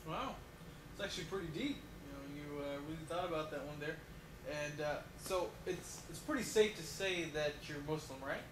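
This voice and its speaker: A man's voice: fairly high pitch, normal speed. A man hosting a mock talk show interview, rambling and leaning on fillers like "you know". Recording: echoey room with loud noise.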